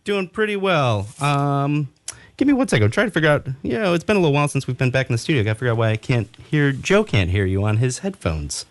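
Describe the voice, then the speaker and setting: A man chats informally as a live radio co-host. His pitch is lively and varied, and he keeps the delivery casual and conversational. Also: fast. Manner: informal, chatty.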